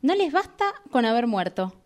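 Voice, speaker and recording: Woman as an animated, uneasy tramp. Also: fairly high pitch, moderate pace. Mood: hushed, uneasy. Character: uneasy tramp listening to murmuring dead voices. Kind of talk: amateur radio theatre workshop reading.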